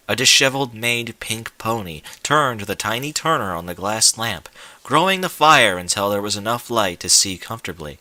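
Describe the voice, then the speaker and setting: A man's voice: fairly low pitch, bright, forceful tone, dry recording. A man reading a scripted story aloud as a dramatic narrator, with an emphatic, theatrical delivery. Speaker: fan fiction narrator.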